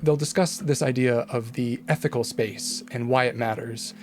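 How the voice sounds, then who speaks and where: A man's voice: medium pitch, fairly even intonation, moderate pace. Upbeat man over guitar music. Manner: upbeat, announcing. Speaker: podcast host. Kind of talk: scripted episode intro.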